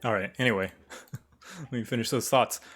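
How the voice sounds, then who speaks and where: A man's voice: mid-range voice, moderate pace. Man thinking aloud over music. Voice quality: slight stutter. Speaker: streamer and animator. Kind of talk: casual livestream chat explaining a process. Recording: music playing behind.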